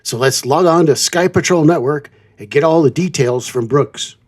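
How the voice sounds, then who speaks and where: A man's voice: on the high side, normal speed, heavy background noise. A man hosting a semi-scripted trucking show, presenting to listeners in a theatrical announcer manner. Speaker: host of a trucking show playing old-time radio.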